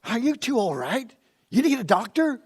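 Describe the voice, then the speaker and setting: An actor playing a gruff, irritable, blustering small-town man in a live radio play. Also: extremely high pitch, big pitch swings, moderate pace, darkish tone, a little hiss or hum. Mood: baffled, gruff.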